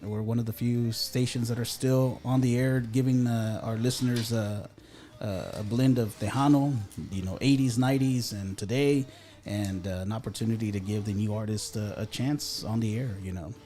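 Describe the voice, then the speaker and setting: A man, chatting casually as a radio station guest, relaxed and often laughing, dropping in Spanish words. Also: on the low side, normal speed, soft background music. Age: 30s. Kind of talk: casual studio chat.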